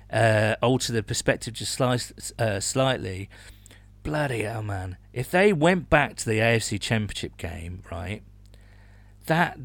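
Male British sports podcast host, mid pitch, enthusiastic and matey, emphatic delivery, dead-dry recording. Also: vocal fry, a bit bright, no room sound. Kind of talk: casual sports chat.

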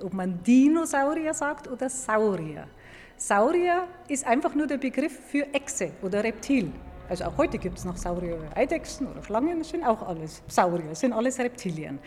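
A woman explaining science to a young listener in a clear, didactic way, stressing key words by repeating them. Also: on the high side, sing-song, normal speed, darkish tone. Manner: clear, didactic, emphatic repetition. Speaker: museum paleontologist. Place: large echoing indoor museum hall. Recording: reverberant hall with background noise.

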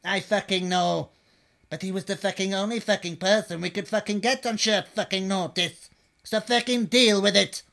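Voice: medium pitch, steady tone, moderate pace, vocal fry, fairly bright tone. An actor playing a harried restaurant boss in an adult comedy sketch, breaking up every phrase with emphatic swearing. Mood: bossy, harried.

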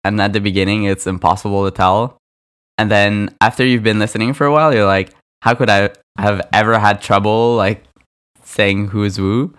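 A man's voice: on the low side, fairly even intonation, normal speed, fairly bright tone, clear, resonant tone. A young man co-hosting a casual podcast, chatty and filling his talk with likes and ums. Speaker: podcast co-host, product designer. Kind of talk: casual chat.

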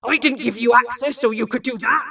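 A woman playing a stubborn, obsessive scientist father in an audio drama, with a strained, forceful and defiant delivery. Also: on the high side, unhurried, distant mic, exaggerated voice. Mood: furious. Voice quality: strained, gravelly. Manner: forceful, defiant, screaming and growling.

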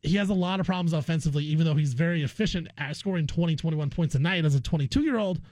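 A man's voice: fairly high pitch, fast talker, a little mellow, dry recording. A man hosting a sports talk radio show, giving opinionated commentary in a conversational way. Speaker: sports radio guest host. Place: radio studio.

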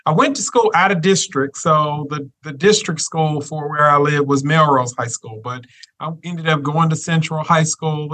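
A man, a guest on a podcast who talks in a gracious, measured way with a touch of self-deprecating humor. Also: on the high side, bright, forceful tone. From Memphis, Tennessee. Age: 50s. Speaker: guest, university chancellor. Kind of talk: podcast interview.

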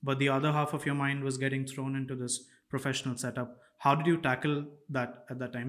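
A man's voice: medium pitch, fairly bright tone. A man hosting a podcast interview, asking long, winding questions in a friendly, casual way. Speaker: podcast host.

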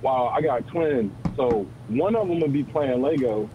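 A man's voice: mid-range voice, expressive, a little slow. Man, caller, rambling, earnest, muffled phone line, faint hiss. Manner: rambling, many fillers and restarts, earnest. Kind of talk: rambling call-in talk.

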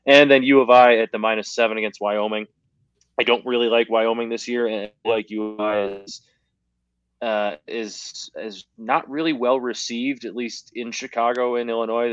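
A man co-hosting a sports betting podcast, struggling with his voice and talking through picks in a rapid, rambling way. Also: lowish voice, no room sound. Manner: rapid, rambling, restarts often. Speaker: podcast co-host. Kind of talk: casual sports betting chat. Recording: slightly dull lo-fi audio.

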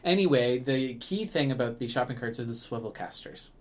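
A man co-hosts a casual podcast, chatting in a rambling way full of fillers and quick restarts. His voice sits at an ordinary middle pitch. He laughs often as he talks. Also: expressive. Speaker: podcast co-host, museum staff. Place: Canada.

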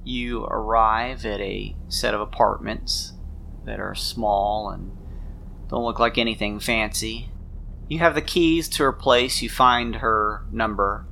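A man's voice: lowish voice, fairly even intonation, takes it slowly, vocal fry, pushed and bright, a little hiss or hum. A man narrating a tabletop role-playing game as game master, improvising scenes in the second person.

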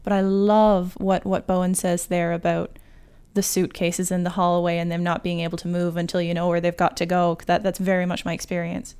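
A woman's voice: on the low side, level tone, a little fast, a little mellow, clean tone. A woman speaking as a guest writer in a thoughtful, emphatic, reflective panel chat.

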